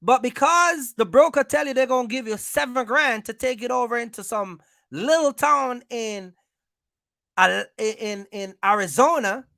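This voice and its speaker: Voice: mid-range voice, creaky, sharp tone, no echo, clean studio sound. An American livestream host giving informal business advice, speaking emphatically, repeating points and checking in with listeners.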